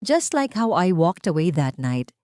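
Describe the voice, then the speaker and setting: Narrator as powerful woman boss, cold, cutting, vengeful, deliberate, dry recording. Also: on the low side, sing-song, darkish tone, dry recording. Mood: icy verdict. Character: cold, commanding, vengeful female company director.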